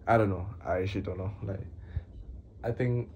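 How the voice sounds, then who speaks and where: A young man speaks to his camera in a small room, with a low, mellow voice that stays flat and monotone. He talks haltingly, with many fillers and long pauses. Faint room ambience sits behind him. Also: slight background noise. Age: young adult. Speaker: vlogger. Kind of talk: casual talk to camera.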